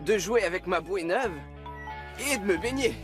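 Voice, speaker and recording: Naive, high-pitched cartoon fireman. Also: expressive, moderate pace. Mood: eager. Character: naive, childlike, clumsy male firefighter, eager and plaintive. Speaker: firefighter. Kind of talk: French cartoon dub. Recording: music behind the voice.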